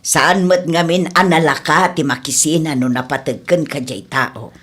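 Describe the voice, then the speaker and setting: A woman playing a gossipy, sympathetic middle-aged mother in an amateur audio drama, expressive, with an Ilocano accent. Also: deep voice. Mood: concerned. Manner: unhurried, expressive.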